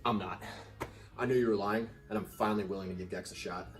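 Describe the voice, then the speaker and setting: A man playing a sardonic, laid-back gamer friend in a comedy sketch, deadpan but given to sudden emphasis. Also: fairly low pitch, quick pace, soft background music, lightly acted. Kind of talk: scripted comedy sketch acting.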